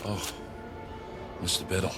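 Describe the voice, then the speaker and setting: A man's voice: measured pace, music in the background, lightly acted. An actor playing a polite, bewildered younger man, an obedient worker who speaks with deferential hesitance in an audio drama. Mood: cautious.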